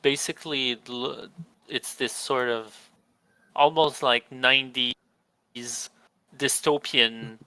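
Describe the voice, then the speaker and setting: Bright, clear young man rambling. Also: medium pitch, fairly even intonation, takes it slowly, no echo, rough recording. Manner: rambling, restarts mid-sentence. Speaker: podcast host. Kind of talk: casual chat.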